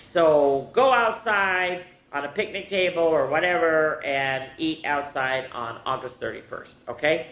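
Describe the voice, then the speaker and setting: A woman's voice: low-pitched, measured pace, few pauses, fairly bright tone. A senior woman hosting a children's story time, chatty and instructive, often putting questions to the kids. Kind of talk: children's storytelling and activity talk. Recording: echoey room with faint noise.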